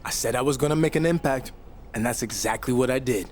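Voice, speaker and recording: Male actor for cocky rookie wrestler, game cutscene. Medium pitch, assertive, defiant, slight background noise. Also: fast, lightly acted. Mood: self-satisfied. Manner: smug, assertive. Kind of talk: video game cutscene acting.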